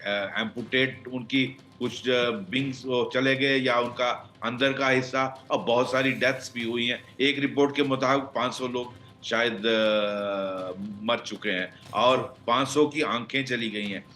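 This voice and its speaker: A man giving unscripted expert analysis on a news panel, halting as he searches for words and mixing in English phrases. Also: medium pitch, fairly even intonation, bright, forceful tone. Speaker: expert guest, former senior police officer.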